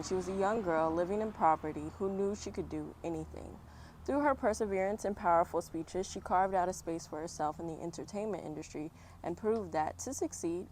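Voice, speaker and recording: Low-pitched woman reading aloud outdoors. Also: low-quality recording. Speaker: children's book read-aloud host. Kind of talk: picture book read-aloud with casual asides. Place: outdoors on a fall day. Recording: outdoor background noise, passing vehicles. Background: outdoor ambience, traffic.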